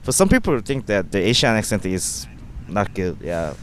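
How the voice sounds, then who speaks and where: A man from South Korea, Korean his first language, speaking English with a Korean accent in a halting, easygoing way as a podcast guest. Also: fairly low pitch, very animated, slight background noise. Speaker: guest, web novelist. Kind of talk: casual podcast chat.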